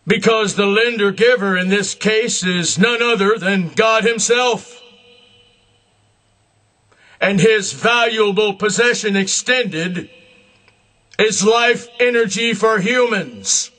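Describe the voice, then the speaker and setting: Very high-pitched man, slow solemn proclamation. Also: pushed and bright. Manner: grandiose, emphatic proclamation in deliberate short phrases. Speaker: self-proclaimed religious leader. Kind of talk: solemn scripted public religious address.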